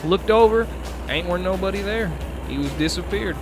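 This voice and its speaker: A man playing a folksy trucker in improvised CB roleplay, rambling and halting. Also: sits high, music in the background. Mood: earnest wonder. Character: folksy male trucker telling tall road tales.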